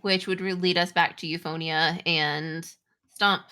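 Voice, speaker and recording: A woman's voice: fairly low pitch, steady tone, a little slow, bright, forceful tone, smooth. A woman game master narrating and refereeing a tabletop game in informal, conversational phrasing.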